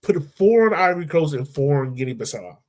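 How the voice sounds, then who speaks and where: A man's voice: on the high side, lively intonation, a little fast, a little mellow. A man hosting a podcast, chatting informally about football and thinking aloud as he goes. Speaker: podcast host discussing football. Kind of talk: casual conversational sports chat.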